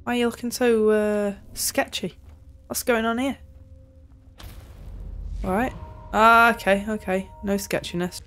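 A woman's voice: medium pitch, fairly even intonation, a little slow, long pauses, a bit bright, faint music behind. A young woman giving casual, playful, chatty commentary while she plays a video game. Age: young adult. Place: small indoor room at home.

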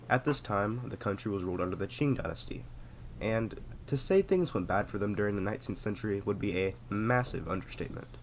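Low-voiced sick young man narrating. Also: a little hiss or hum. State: sick, voice sounds off. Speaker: history video narrator. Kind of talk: scripted narration.

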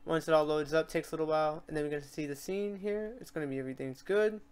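A software tutorial host with a voice of middling pitch. The delivery is casual and unscripted, explaining each step while working and thinking aloud along the way. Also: fairly even intonation, quick pace, clear, resonant tone.

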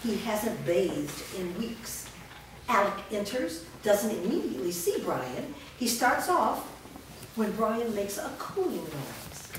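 A woman's voice: medium pitch, very animated, takes it slowly. A woman reading scripted stage directions aloud as the narrator of a staged reading. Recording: echoey room, far mic, clear noise.

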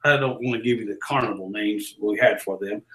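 An older man in his sixties with a Southern American accent, telling stories as an interview guest in a folksy, loud carnival-barker manner, recorded by a far camera in a small office. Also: fairly low pitch, fairly quick. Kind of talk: storytelling in a casual interview. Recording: roomy office echo.

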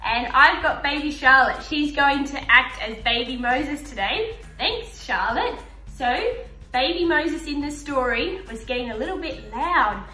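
A woman's voice: high voice, animated, measured pace, bright, forceful tone. A woman in her thirties presenting a simple, hands-on story lesson for young children. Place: indoor room with painted river backdrop. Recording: roomy echo, faint background music.

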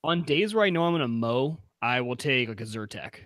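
A young man co-hosting a casual tech podcast, explaining eagerly over a video call on a boom-arm studio mic in a home workshop. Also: expressive, fairly bright tone, no echo. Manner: quick, enthusiastic explaining. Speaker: podcast co-host. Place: home workshop room with pegboard.

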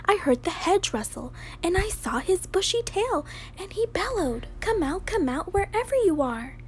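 A woman's voice: extremely high pitch, big pitch swings, normal speed, pitched above the performer's own voice. A young woman voicing a frightened young shepherdess in a storybook, urgent and panting. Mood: panicked. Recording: home recording with clear background noise.

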